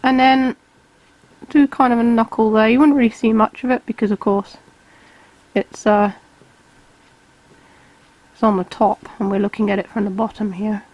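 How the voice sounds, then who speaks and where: A woman, calmly explaining each step of a drawing tutorial while she sketches. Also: on the high side, normal speed, long pauses, rough recording. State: has a cold, slightly hoarse. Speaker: art tutor.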